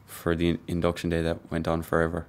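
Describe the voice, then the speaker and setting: A man, a team leader, giving brisk, matter-of-fact interview answers. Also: low voice, monotone.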